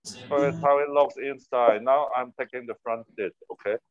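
A man's voice: mid-range voice, fairly even intonation, normal speed, no room sound. Man from Hong Kong, tour guide, mid-range voice, patient explainer, dry muffled recording. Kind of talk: casual guided tour chat.

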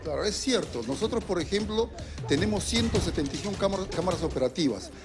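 Middle-aged Peruvian man, high and quick, earnest official with restarts, music under the street interview. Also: lively intonation. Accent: Peruvian Spanish. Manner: earnest, hesitant with fillers and restarts. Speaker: municipal security manager. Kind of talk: live TV news interview. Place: outdoors on a city street. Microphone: handheld reporter mic.

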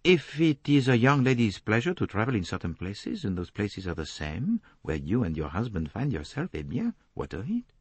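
A man voicing a calm, shrewd, courteous foreign detective, speaking formally and deliberately in an audiobook. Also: fairly low pitch, breathy edge, no echo. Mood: measured. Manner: placid, detached, formal, deliberate phrasing.

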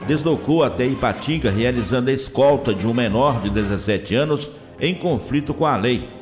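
A man reading police reports in a steady, formal news delivery with a Minas Gerais Brazilian accent. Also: medium pitch, in a large hall. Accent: Brazilian Portuguese, Minas Gerais.

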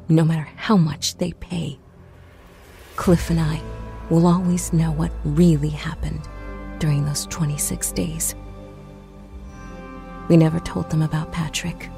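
A woman playing a solemn survivor of a doomed spaceship, delivering a measured, grave sci-fi monologue. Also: low voice, creaky, warm tone, voice pushed down.

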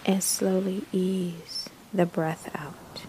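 A woman narrating a guided meditation in a soothing, hushed manner, with breathy phrase endings and long pauses between short phrases. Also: on the low side, level tone, a little hiss or hum, poor audio.

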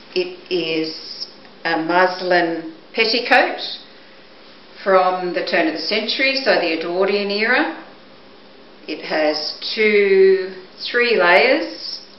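A middle-aged woman shows auction items with a measured, descriptive delivery. Her voice sits slightly low and moves slowly. It is picked up by a camera microphone in a room at home, with a dull, muffled sound. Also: reverberant, slight background noise. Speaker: auction presenter. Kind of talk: product showcase, descriptive talk. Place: indoors, living or dining room at home. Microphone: camera microphone a few steps away.

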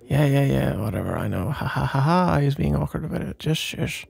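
A man acts out a shy, flustered young guy in an intimate ASMR roleplay. His voice is soft-toned and mellow, husky with breath and thick with creak. He stammers and mumbles awkwardly, like a sleepy best friend who is smitten. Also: medium pitch, big pitch swings, fairly quick, full character voice.

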